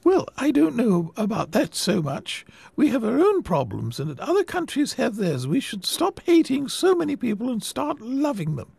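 Male reader voicing a sugary, preachy elderly lady; high, swooping, prim. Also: huge pitch swings, moderate pace, creaky, darkish tone, slightly rough, dry recording, heavily acted. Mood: offended. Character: preachy sweet old woman campaigner. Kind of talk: reading a memoir aloud.

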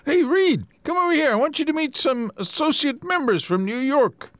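A man voicing an amazed, fast-talking male New York newspaperman in a storybook reading. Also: lively intonation, a bit bright, dry recording. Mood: boastful. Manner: incredulous, squeaky high.

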